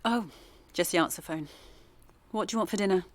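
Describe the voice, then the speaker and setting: A woman's voice: medium pitch, a little fast, close to an everyday voice. An actress playing a reserved middle-aged English mother in a naturalistic audio drama, subdued and guarded. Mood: guarded, weary. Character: reserved teacher, mother of a teenage son.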